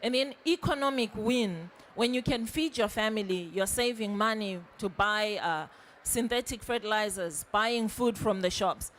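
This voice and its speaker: A woman's voice: mid-range voice, moderate pace, fairly bright tone, a little hiss or hum. A young woman from Zimbabwe speaking at a conference panel, earnest and growing more emphatic as she goes.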